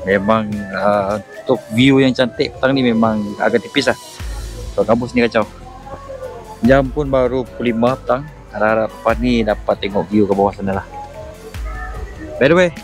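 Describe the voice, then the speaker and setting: Guy from doing a chill camping vlog, lowish voice, drops english words in, music behind him. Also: low-quality recording. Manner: informal, mixes English words into Malay. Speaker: camping vlogger. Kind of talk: casual vlog narration.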